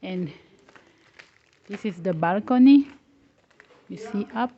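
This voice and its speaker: A woman vlogger, speaking English as a second language, hosting a casual walking tour of a house under construction, with halting phrasing and restarts. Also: medium pitch, expressive, dark tone, lo-fi sound. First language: not English. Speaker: travel vlogger, host.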